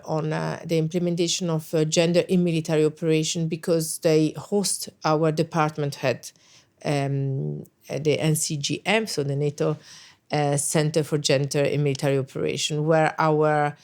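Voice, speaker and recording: A woman's voice: sits low, darkish tone, clear, resonant tone. A middle-aged woman, an English speaker, answering interview questions thoughtfully with frequent hesitations and restarts. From Italy. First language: Italian. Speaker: guest, NATO special representative.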